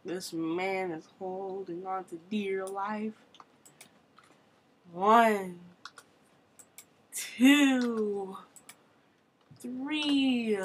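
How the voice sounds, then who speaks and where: A woman giving casual commentary on her own gameplay, thinking aloud with quick exclamations, at a desk mic at her computer. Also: medium pitch, fairly bright tone, slight background noise. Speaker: gamer recording her play.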